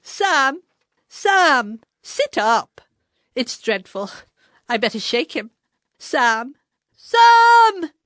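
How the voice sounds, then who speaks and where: A woman's voice: high voice, very animated, extremely slow, long pauses, a bit bright, no echo, studio quality, exaggerated voice. A woman playing a prim, polite hostess wife in a radio murder mystery, speaking carefully. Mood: fretful. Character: politely apologetic hostess wife hiding her guilt.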